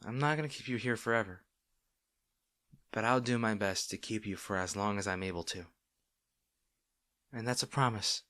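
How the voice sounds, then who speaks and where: A man playing a caring, gently firm boyfriend in an intimate roleplay audio, speaking softly, slowly and earnestly. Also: on the low side, no room sound, studio quality. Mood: protective, soothing. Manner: soft, slow, tender, earnest with sighs.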